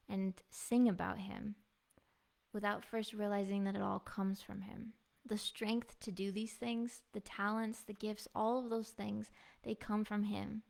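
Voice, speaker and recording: A woman in her thirties giving a heartfelt personal devotional testimony in an earnest, soft-spoken way. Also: medium pitch, creaky. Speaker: devotional speaker and music minister. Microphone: clip-on mic.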